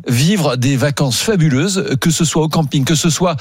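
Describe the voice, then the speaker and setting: A man's voice: a little high, normal speed, husky, no room sound. A man who is a regular on a radio show, enthusiastically arguing his point and repeating phrases for emphasis. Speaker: regular columnist. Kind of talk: opinionated radio chat.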